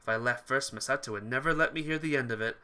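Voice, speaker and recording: A man's voice: mid-range voice, fast talker, sharp tone, clean tone. A man reading visual novel text aloud as dramatised narration, sometimes stumbling over words and rereading them. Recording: music behind the voice. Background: game music.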